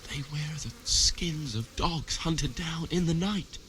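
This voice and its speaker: A man's voice: fairly high pitch, slow pace, fairly bright tone. A man playing a frightened medieval servant in a game, anxious, pleading and deferential, with some noise behind him.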